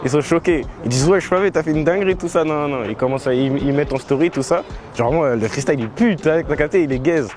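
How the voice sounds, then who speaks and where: Laid-back teenage rapper talking fast. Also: male voice, a little high, crowd noise. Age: teens. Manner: laid-back street slang, many fillers like tu vois. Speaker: young rapper being interviewed. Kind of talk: casual interview answers. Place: outdoors in a housing estate.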